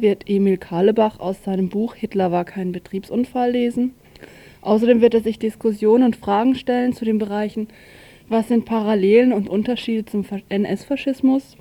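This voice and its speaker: A woman's voice: mid-range voice, dark tone, no room sound. A woman presenting a radio news overview in an informal way, stumbling now and then over announcements.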